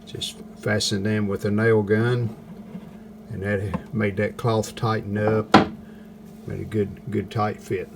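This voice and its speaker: A man narrating a hands-on restoration how-to in a casual, plainspoken way, often pausing mid-sentence. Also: lowish voice, little pitch movement, gravelly, background noise. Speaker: host of a restoration how-to video.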